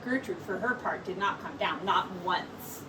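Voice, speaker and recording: A woman teacher reading a picture book aloud in slow, expressive storytelling. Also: fairly low pitch, recorded from a distance, background noise.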